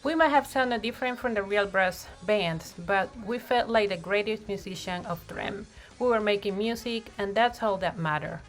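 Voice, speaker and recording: A woman narrating a children's picture book in an expressive read-aloud style, with deliberate phrasing and emphasized words. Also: medium pitch, low-quality recording. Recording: music playing behind the voice. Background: music.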